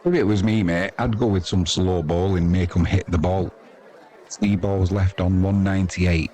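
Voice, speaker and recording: Low male voice, informal sports commentator, chatty, self-deprecating, crowd behind him. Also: steady tone, moderate pace. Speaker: live cricket commentator and stream host. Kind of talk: casual live sports commentary chat.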